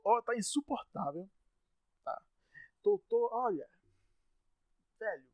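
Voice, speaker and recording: A Brazilian man rants on his solo podcast, his fairly high voice jumping into loud outbursts before he tries to calm himself. He stumbles and repeats words when worked up. The sound is dry and close, with no room around it. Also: no echo. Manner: exasperated ranting with sudden loud outbursts. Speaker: podcast host.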